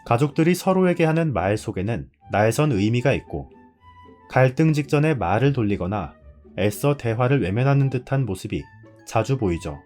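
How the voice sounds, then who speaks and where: A man's voice: medium pitch, lively intonation, moderate pace, darkish tone, soft background music. A man hosting and reading a scripted narration. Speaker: host.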